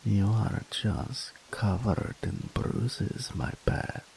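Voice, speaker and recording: A man voicing a dominant, possessive male incubus demon in an audio roleplay, talking in a slow, purring way that often drops to a whisper. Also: on the low side, expressive, vocal fry, a little mellow, a little hiss or hum, heavily acted. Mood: doting.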